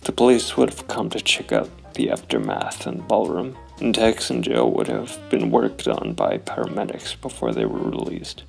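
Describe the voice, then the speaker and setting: A man narrating his solo tabletop role-playing game, thinking aloud in a deliberate, analytical way. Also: sits low, wildly swooping pitch, creaky, a little mellow, faint music behind.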